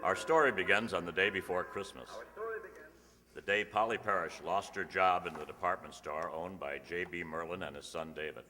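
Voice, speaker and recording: Male announcer-narrator for vintage radio comedy: extremely low, punchy tone, hall reverb. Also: steady tone, normal speed, sharp tone, faint music behind. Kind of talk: old-time radio announcing, scripted narration. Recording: reverberant hall stage recording.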